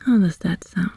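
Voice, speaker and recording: A woman voicing a friendly, calm sorceress in a whispered ASMR hypnosis roleplay, gentle and reassuring, breathy and soothing, close to the microphone. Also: no echo, lightly acted. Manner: slow, soothing, deliberate with long pauses. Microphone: close, dry microphone.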